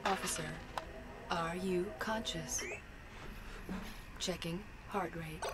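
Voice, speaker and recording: A woman's voice: on the low side, steady tone, slow, heavy background noise. A woman playing a detached police command system, giving clipped, impersonal prompts in an audio game. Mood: detached, procedural. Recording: loud music behind the voice.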